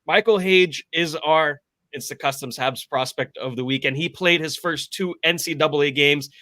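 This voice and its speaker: A man's voice: fairly high pitch, moderate pace, bright, forceful tone, no echo. A man co-hosting a sports podcast, introducing segments and chipping in with brief, casual hockey remarks. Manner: short interjections and presenter lead-ins.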